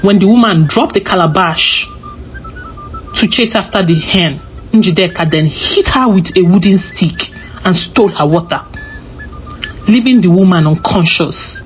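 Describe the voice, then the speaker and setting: A woman's voice: on the low side, unhurried. A woman narrating a scripted folktale as a storyteller. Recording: slightly dull recording with music behind. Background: music.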